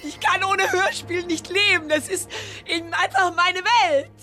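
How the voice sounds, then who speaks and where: A woman's voice: extremely high pitch, steady tone, a little slow, music in the background. A woman speaking in a short produced jingle clip.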